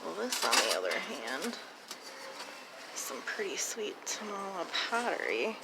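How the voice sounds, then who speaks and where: A woman's voice: mid-range voice, huge pitch swings, unhurried, creaky, heavy background noise. A woman chatting casually and informally as a reseller vlogger, with mild slang.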